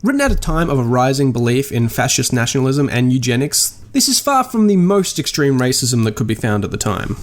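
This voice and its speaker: A man's voice: medium pitch, very animated, a little fast, hardly any pauses. A man narrating a scripted video essay as a podcast host, with dry, wry understatement and articulate, formal wording.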